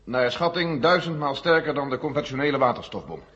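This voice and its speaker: A man acting a sober, authoritative general in a Dutch radio play, answering in a measured, formal way. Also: medium pitch, expressive, a bit bright, close to an everyday voice. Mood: grave, frank. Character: frank, sober American general leading a space agency. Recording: dull 1970 radio recording, echoing hall.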